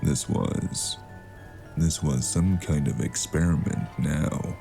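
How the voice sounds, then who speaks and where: A man playing a brooding noir storyteller, narrating an audio drama deliberately. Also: rumbling low, creaky, dark tone, faint music behind, full character voice. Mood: wry, wistful.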